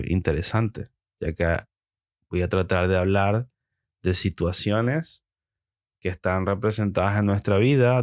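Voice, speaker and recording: A young Venezuelan man with a Venezuelan accent hosting a solo podcast, talking reflectively and pausing often with hesitant fillers. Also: sits low, no room sound, studio quality. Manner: hesitant, with frequent ums and pauses. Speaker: podcast host.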